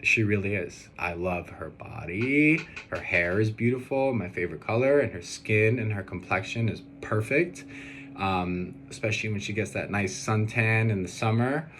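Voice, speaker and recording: A young man recording a casual personal video message, talking in a hesitant, rambling and earnest way. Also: fairly low pitch. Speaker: groom-to-be answering questions on video. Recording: steady background noise.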